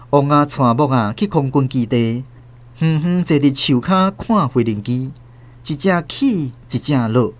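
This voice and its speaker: A man with a Taiwanese accent narrating a radio drama in a dramatized storytelling style. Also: medium pitch.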